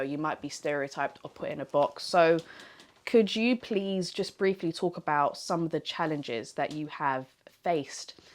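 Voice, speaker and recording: A woman hosting an interview, asking friendly, curious questions and giving short agreements as she listens. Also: deep voice. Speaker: interview host.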